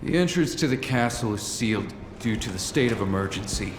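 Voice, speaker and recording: An actor playing a tough, wary, pragmatic armed operative in a video game, speaking in a measured, hard-edged and persuasive way. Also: male voice, lowish voice, animated, background noise, close to an everyday voice. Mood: grim, forceful. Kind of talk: video game cutscene acting. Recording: echoey hall with long reverb, music behind.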